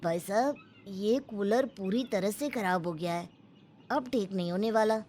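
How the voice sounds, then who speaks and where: Woman acting plain-spoken repairman, lowered voice. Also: a little slow, darkish tone, a little hiss or hum, lightly acted. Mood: matter-of-fact advice. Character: matter-of-fact male cooler repairman. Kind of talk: dramatised family story acting.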